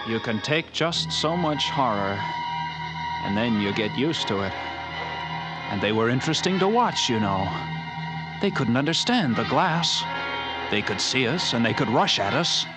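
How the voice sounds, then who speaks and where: A man narrating as a haunted lighthouse keeper in a slow, hushed, dread-filled way, in 1940s radio acting style, on an old radio recording with loud music. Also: mid-range voice, lively intonation, low-quality recording. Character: haunted lighthouse keeper telling his story.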